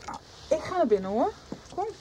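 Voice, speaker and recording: A woman playing a brisk, practical young woman in a 1990s radio soap. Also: high voice, big pitch swings, mellow, a little hiss or hum, poor audio. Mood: impatient. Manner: brisk, coaxing.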